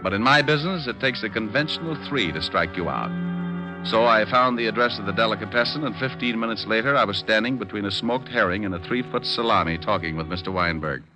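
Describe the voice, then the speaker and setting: An actor playing a rough, wisecracking private detective with a dry, hard-boiled delivery in an old radio drama. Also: male voice, lowish voice, animated, fast, over music, natural voice. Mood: wry narrating. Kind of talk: 1940s-50s radio detective acting and narration. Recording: dull old-time radio recording.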